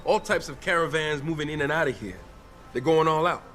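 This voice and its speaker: An actor with an American accent playing a friendly, streetwise arms dealer in a video game, talking in easygoing slang. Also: male voice, fairly high pitch, a little fast, a bit bright, a little hiss or hum, lightly acted.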